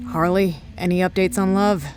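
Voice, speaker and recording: A woman's voice: lowish voice, heavy background noise. A woman acting in an audio drama as a weary, terse technician, impatient and troubleshooting under pressure.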